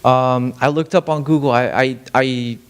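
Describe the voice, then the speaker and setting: A man's voice: mid-range voice, fairly even intonation, unhurried, smooth. Young man rambling through a casual talk. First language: Farsi. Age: young adult. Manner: rambling, self-deprecating, many fillers and restarts. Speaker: student speaker. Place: lecture stage.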